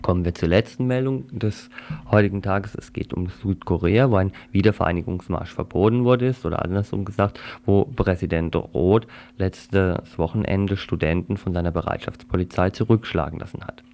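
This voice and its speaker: A man presenting a radio news program in a calm, measured way and interviewing guests. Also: deep voice, gravelly, a little hiss or hum.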